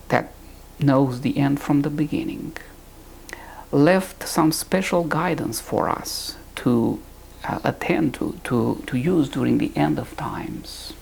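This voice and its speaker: A man from Romania, giving an earnest, deliberate recorded teaching talk on the Bible and pausing to find his words. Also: fairly high pitch, creaky, a little mellow, breathy edge.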